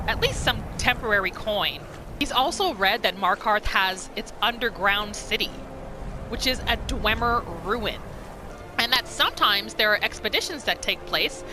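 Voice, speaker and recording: A woman's voice: on the high side, animated, normal speed, music in the background. A woman giving casual, storytelling commentary as she narrates a roleplay video game. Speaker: gamer narrating a roleplay series.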